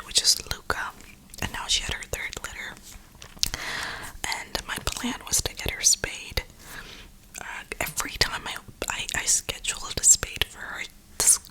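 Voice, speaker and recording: A young woman rambling casually in a soft, breathy ASMR whisper, with informal, slangy phrasing. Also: poor audio. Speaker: ASMR gaming streamer.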